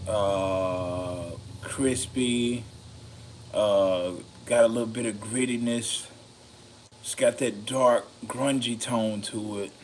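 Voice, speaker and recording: A raspy-voiced man rambling casually about microphones, slow and meandering, with many ums and a slightly loaded, loose delivery. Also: medium pitch, slight background noise. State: a bit intoxicated. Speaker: home studio vlogger reviewing microphones. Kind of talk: rambling casual talk to camera.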